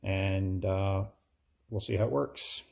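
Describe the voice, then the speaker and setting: A man's voice: low voice, takes it slowly, vocal fry, a little mellow, no room sound, clean studio sound. A man, plain-spoken and conversational, talking through a casual hands-on product review.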